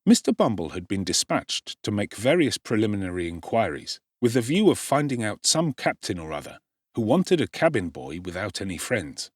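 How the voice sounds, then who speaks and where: A man's voice: fairly low pitch, very animated, normal speed, breathy edge, no room sound. Male narrator for a dramatic audiobook, wry and theatrical, close and dry.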